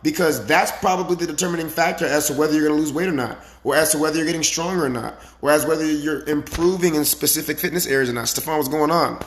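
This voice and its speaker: A man with a raspy, slightly hoarse voice giving a casual motivational talk as a fitness coach, emphatic and repetitive with frequent rhetorical questions. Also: on the high side, fast talker, hardly any pauses, slight background noise. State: slightly hoarse from the previous day.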